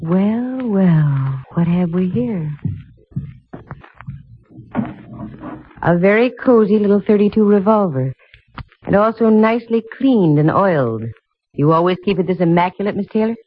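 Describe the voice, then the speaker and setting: A woman's voice: mid-range voice, moderate pace, a little hiss or hum, close to an everyday voice. An actress playing a wry, confident lady private investigator in a 1950s radio detective drama. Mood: probing, wry. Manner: wry, slangy, cool. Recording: muffled old radio, music behind.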